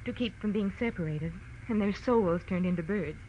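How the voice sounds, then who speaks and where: A woman's voice: medium pitch, normal speed, lightly acted. An actress playing an anxious young woman in a 1940s radio detective drama, breathless and hesitant. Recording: muffled, noisy old radio recording.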